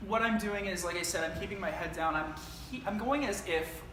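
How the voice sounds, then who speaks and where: A young man from Canada, an actor, talking about his life and work in a casual interview, earnest and reflective, often pausing with "um" and restarting. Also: sits high, bright, forceful tone. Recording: echoey room with noise behind.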